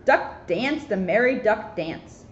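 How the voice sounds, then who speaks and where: Lady cop doing storytime, really lively voice, kinda muffled and roomy. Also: medium pitch, slow. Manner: expressive, chatty. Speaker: police officer reading to children. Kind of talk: animated picture-book read-aloud with casual asides. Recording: roomy echo, muffled, faint noise.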